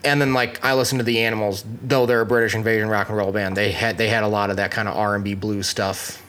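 A man, a musician, co-hosting a casual studio chat with animated joking and bits of improvised singing. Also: lowish voice, fast. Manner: animated, jokey, breaks into short sung bits. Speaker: podcast co-host, musician.

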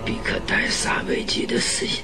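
An actor playing a gravely sick detective in a radio drama, breathy and groaning, pleading in halting, labored words. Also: male voice, in a whisper, over loud music, lightly acted. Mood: begging. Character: gravely sick male detective, weakly pleading with his enemy. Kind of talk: Mandarin radio drama acting.

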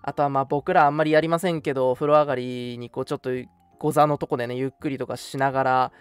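A man's voice: mid-range voice, steady tone, fast talker, clean tone, no echo, soft background music. A young man hosting a casual radio chat, talking to his co-host and listeners in a conversational, explanatory way. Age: young adult.

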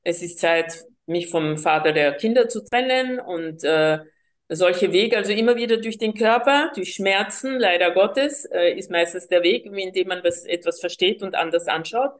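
Woman, slightly low voice, halting speech. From Colombia. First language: Spanish. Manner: hesitant, many fillers and restarts. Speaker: guest. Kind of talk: personal storytelling in an interview.

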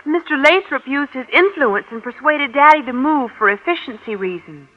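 A woman's voice: sits high, animated, normal speed. An actress playing an earnest teenage girl, a principal's daughter, in a 1950s radio sitcom. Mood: plaintive. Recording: dull old radio broadcast.

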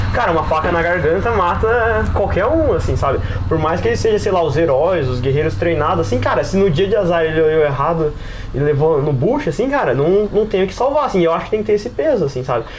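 Fast-talking, high-voiced Brazilian man. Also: background noise. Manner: animated rambling explainer, heavy on slang. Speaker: podcast panelist and game master. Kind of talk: casual podcast discussion.

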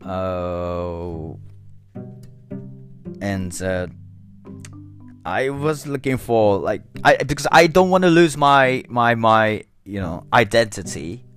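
A man whose first language is Japanese, speaking English with a Japanese accent, talking slowly and thoughtfully to camera with many restarts and fillers. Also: on the low side, fairly bright tone, soft background music. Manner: slow and thoughtful. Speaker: English learner. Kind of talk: reflective solo talk.

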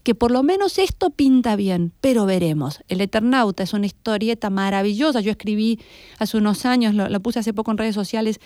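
Argentine lady podcast host, mellow voice, explains stuff to listeners, conversational, dry recording. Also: medium pitch, brisk, dry recording. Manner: explanatory, addresses listeners directly. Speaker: podcast host and university lecturer. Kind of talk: reflective conversational commentary.

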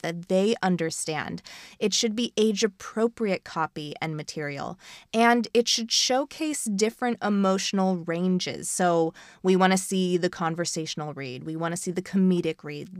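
Low-pitched woman podcaster explaining things. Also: no echo, clean studio sound. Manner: explanatory, conversational. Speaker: podcast co-host giving advice. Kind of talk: instructional podcast talk.

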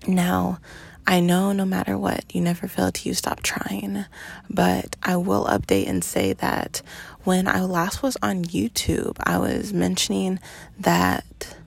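Early-twenties woman, podcast host, lowish raspy voice, earnest personal monologue. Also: little pitch movement. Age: 20s. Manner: slow, earnest, with pauses and fillers.

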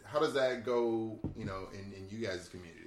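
A man's voice: on the low side, brisk, slight background noise. A man co-hosting a casual podcast chat, laid-back and agreeable. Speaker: podcast co-host. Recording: roomy echo.

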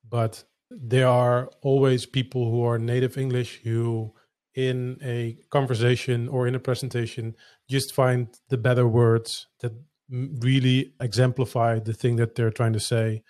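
A man's voice: medium pitch, little pitch movement, unhurried, a little mellow, no room sound. A Dutch man, a native Dutch speaker, hosting a casual interview and asking questions thoughtfully. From Netherlands. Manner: thoughtful, searching for words. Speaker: podcast host.

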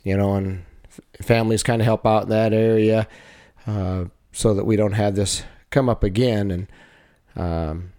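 A man, a wrestling coach, talking over the season in a casual, halting way, full of fillers and restarts. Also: fairly low pitch, fairly even intonation, dark tone. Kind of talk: casual chat.

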